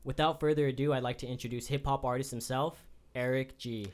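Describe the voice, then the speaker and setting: A man's voice: medium pitch, fairly even intonation, a little fast. A man co-hosting a podcast, introducing in a conversational presenter style. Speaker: podcast co-host.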